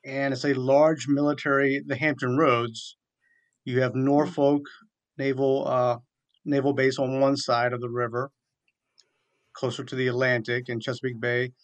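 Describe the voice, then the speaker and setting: A man in his forties speaks at a medium pitch as a guest in an interview. He tells his story earnestly and in detail, often circling back to restate a point. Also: flat delivery, unhurried. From southeastern Virginia, USA. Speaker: military chaplain, radio guest.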